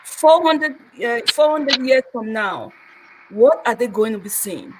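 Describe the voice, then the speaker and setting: A woman's voice: on the high side, lively intonation, a little slow, noisy background. A woman in her thirties hosting an interview, effusive and a little hesitant. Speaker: host. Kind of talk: conversational interview.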